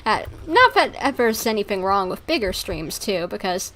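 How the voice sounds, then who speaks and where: Female game streamer, medium pitch, informal and rambling, talking casually to chat. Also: sing-song, moderate pace, fairly bright tone, a little hiss or hum. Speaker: live streamer. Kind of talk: casual livestream chat with viewers.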